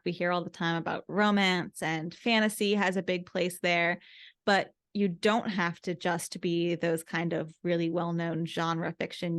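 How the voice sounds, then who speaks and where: Slightly low-voiced woman interviewer, dry sound. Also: no room sound. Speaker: interview host. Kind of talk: conversational interviewing.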